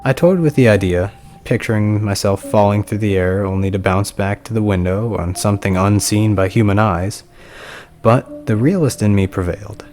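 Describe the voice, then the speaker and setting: A man's voice: lowish voice, moderate pace. A man reading a scripted first-person horror story as its narrator. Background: soft music.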